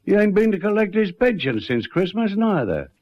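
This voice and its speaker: A man's voice: high-pitched, very animated, normal speed. A man playing a worried, stubborn country bushman father with a rural Australian accent, drawling and ungrammatical, in a radio drama. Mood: worried, insistent. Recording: muffled old radio recording.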